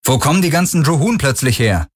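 A man's voice: sits low, lively intonation, normal speed. A man voicing a wary, sardonic young crewman in an audiobook, with a dry, guarded manner. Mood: cool, challenging.

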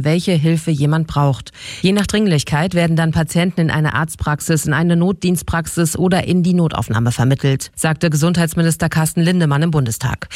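A man reading a prepared radio news report in an even, informative way. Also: a little high, no room sound, clean studio sound.

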